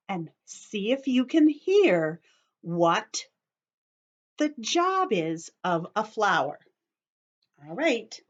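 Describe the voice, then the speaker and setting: Woman, primary-teacher read-aloud for little kids: high-ish, very animated pitch, simple phrasing, slightly muffled lo-fi sound. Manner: expressive, simple teacherly phrasing with questions to children. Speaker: teacher reading aloud to young children. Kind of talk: read-aloud lesson for children with explanations.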